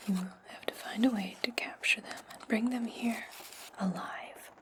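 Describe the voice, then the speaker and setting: A woman playing an imperious, scheming witch in a breathy, condescending whisper for an ASMR fantasy roleplay. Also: fairly high pitch, fairly even intonation, natural voice. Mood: commanding, sly. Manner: slow, deliberate, condescending. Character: imperious, scheming witch giving orders to her servant.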